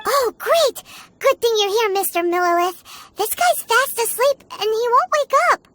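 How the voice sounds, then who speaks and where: A woman's voice: animated, moderate pace, a bit bright. A woman voicing a tiny, blunt, eager childlike fairy companion in a video game. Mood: chirpy. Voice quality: squeaky, childlike. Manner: bubbly, speaks of self in third person. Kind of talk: video game character acting. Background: background music.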